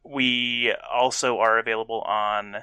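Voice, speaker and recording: Male podcast co-host, clean smooth voice, dry close sound, casual delivery. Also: lowish voice, flat delivery, measured pace, bright, forceful tone, dry recording.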